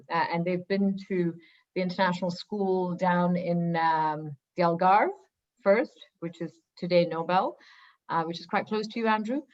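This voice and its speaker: A woman from Singapore speaking on a webinar panel as a school founder, lively and chatty with many fillers. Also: on the low side. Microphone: video call. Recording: dull lo-fi video call audio.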